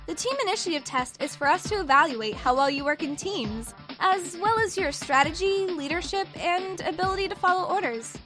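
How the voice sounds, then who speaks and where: Woman acting a high-voiced composed young briefing officer. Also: animated, brisk, few pauses, a bit bright, faint music behind. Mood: composed, instructive. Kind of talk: amateur audio drama acting.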